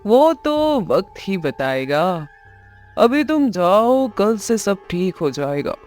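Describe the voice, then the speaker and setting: Man voicing a high-pitched, sinister cartoon magician. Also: very animated, darkish tone, faint music behind, full character voice. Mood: menacing. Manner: menacing, slow, deliberate. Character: sinister greedy bargaining male magician. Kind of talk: Hindi animated moral story acting.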